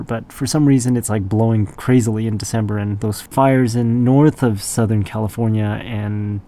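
A man's voice: mid-range voice, vocal fry, warm tone. A man hosting a radio show, chatting casually between songs in a rambling, laid-back way, his voice congested from allergies.

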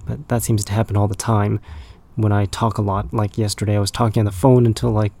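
A man hosting a punk rock radio show, talking in a loose, rambling way with a raspy, slightly hoarse voice. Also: on the low side, level tone, fast, warm tone. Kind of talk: casual radio DJ chat.

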